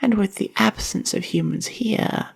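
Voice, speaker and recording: Woman voicing a husky, creaky, reserved spider-woman librarian. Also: mid-range voice, exaggerated voice. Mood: curious, measured. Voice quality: soft, breathy, husky with heavy creak. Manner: formal, measured, slightly stilted and reserved. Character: reserved, bookish young female drider librarian, half-spider university student. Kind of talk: ASMR roleplay, soft-spoken monologue to the listener.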